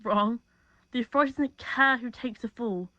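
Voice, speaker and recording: A woman's voice: a little high, normal speed, sharp tone, no room sound, lo-fi sound. A woman playing a grim, wary police chief in a dystopian audio drama.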